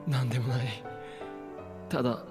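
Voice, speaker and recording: A man's voice: medium pitch, level tone, quick pace, close to an everyday voice. An actor playing a brooding, dry but tender young man in a Japanese visual novel. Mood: subdued, tender. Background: game music.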